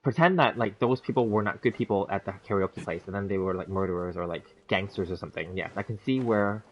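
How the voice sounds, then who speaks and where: Low-voiced man rambling on a stream. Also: quick pace. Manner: rambling, chatty, lots of fillers and restarts; laughs easily while storytelling. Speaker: gaming streamer. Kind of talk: casual livestream chat with viewers. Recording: dull lo-fi stream audio.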